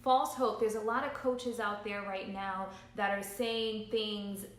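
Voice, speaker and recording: A woman's voice: mid-range voice, steady tone, slow pace. A woman in her thirties giving an earnest, emphatic motivational talk as a business coach, repeating her key points for emphasis. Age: 30s. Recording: roomy echo.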